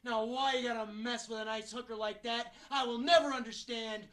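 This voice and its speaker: A man's voice: very high voice, steady tone, moderate pace, a bit bright. A man performing a theatrical monologue as an exasperated, wisecracking struggling actor, sarcastic and colloquial.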